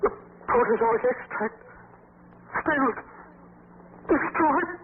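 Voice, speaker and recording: An actor playing an obsessed, arrogant doctor of science, pompous and intense, in 1940s radio drama style. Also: male voice, very high voice, takes it slowly. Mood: frantic. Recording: tinny, hissy 1940s radio recording.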